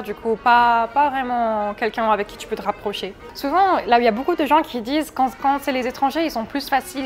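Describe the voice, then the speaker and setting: A woman in her twenties from France, chatting candidly in an interview and often restarting her sentences. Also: fairly high pitch. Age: 20s. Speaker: interview guest. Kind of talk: casual interview talk.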